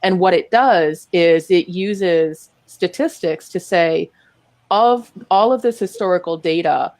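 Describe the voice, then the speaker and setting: A woman with a Boston accent, a guest on a talk show, explaining data analytics in clear, methodical steps. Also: lowish voice, takes it slowly, a little mellow, dry recording. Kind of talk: casual conversation, explaining.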